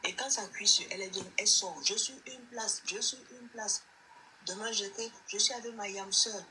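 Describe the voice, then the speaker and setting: A woman's voice: mid-range voice, fairly even intonation, fairly quick, bright, forceful tone, a little hiss or hum, poor audio. A woman chatting emphatically about gossip with a co-host. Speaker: co-commentator. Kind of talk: casual gossip chat.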